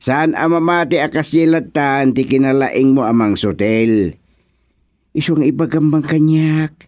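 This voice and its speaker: A man's voice: mid-range voice, unhurried, darkish tone, no echo, studio quality, natural voice. A man with an Ilocano accent playing a paternal village chairman who gives advice in a radio comedy drama. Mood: questioning, scolding. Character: male village chairman, paternal, advising troubled residents.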